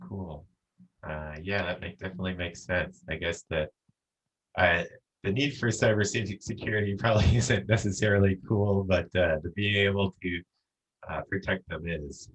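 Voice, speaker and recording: A man hosting an interview show, his voice low in pitch. He asks his questions casually, pausing often on fillers as he goes. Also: fairly even intonation, poor audio. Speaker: podcast host.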